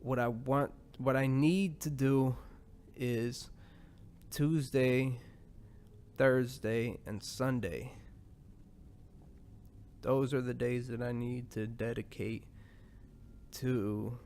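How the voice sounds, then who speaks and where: A man's voice: mid-range voice, steady tone, very slow, pauses often, a little mellow. A man hosting a podcast, talking in a loose, rambling monologue full of profanity and asides. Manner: rambling, profane, laid-back. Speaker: podcast host.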